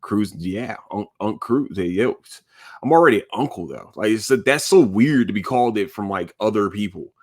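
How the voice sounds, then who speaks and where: A man in his thirties hosting a podcast, talking casually and jokingly in a rambling, animated way. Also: fairly low pitch, normal speed. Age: 30s. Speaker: podcast host. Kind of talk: casual solo podcast monologue.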